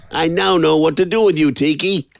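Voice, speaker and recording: An actor playing an unhinged, resentful and cruel man with a gravelly voice and a sardonic manner in a horror audio drama. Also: fairly high pitch, normal speed, music in the background. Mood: gleeful. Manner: sardonic, erratic swoops.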